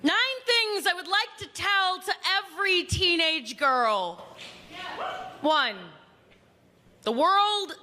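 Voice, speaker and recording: A woman performing slam poetry as a spoken word poet, emphatic and declamatory, with dramatic pauses. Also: very high voice, lively intonation, pushed and bright, slight background noise. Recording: reverberant hall.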